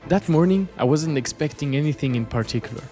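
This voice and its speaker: A man's voice: a little high, expressive, fast talker, dark tone, faint music behind. A man from French-speaking Switzerland, a native French speaker with a Swiss French accent, gives scripted, reflective travel narration as an artist presenting his journey. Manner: reflective, storytelling.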